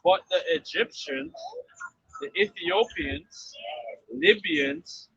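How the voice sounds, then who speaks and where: A man on a city street reads out a dictionary entry at a slow, deliberate pace. His voice is high and bright, with a dry, close, lo-fi sound. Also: big pitch swings, creaky, breathy edge, dry recording. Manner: reads slowly and deliberately from a book. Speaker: group member reading aloud.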